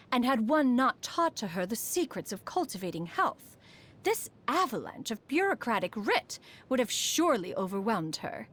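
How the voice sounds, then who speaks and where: A woman voicing a proud, refined, aloof ancient immortal in a video game, speaking formally and loftily. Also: a little high. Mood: concerned, fond. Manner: formal, haughty, calls herself "one". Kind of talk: scripted video game character voice lines. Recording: music behind the voice.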